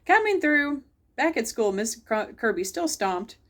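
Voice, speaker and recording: A woman's voice: fairly high pitch, very animated, unhurried. A woman hosting a children's storytime, reading a picture book aloud and chatting directly to young listeners. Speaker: library storytime host.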